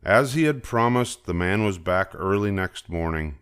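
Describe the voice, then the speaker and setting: Deep-voiced older man reading a storybook. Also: animated, normal speed, a bit bright, clean tone. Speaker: storybook reader. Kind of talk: children's story read-aloud narration.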